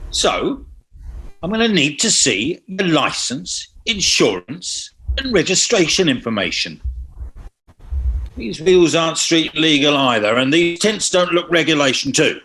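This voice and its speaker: A man's voice: a little high, very animated, unhurried, bright, forceful tone, full character voice, rough recording. A man acting a self-important, stumbling police officer in a comic script read. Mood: stern, bumbling. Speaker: actor in a script table read. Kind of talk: comedic screenplay table read.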